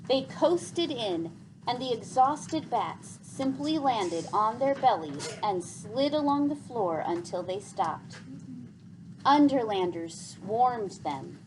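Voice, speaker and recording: Female storybook narrator, higher-voiced, very animated, dramatic and deliberate read-aloud delivery. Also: noisy background. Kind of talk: expressive scripted storybook narration.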